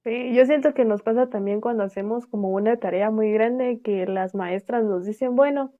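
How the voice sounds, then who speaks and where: A woman's voice: a little high, moderate pace. Young Guatemalan woman host, tinny phone-like sound. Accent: Guatemalan Spanish. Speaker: podcast host.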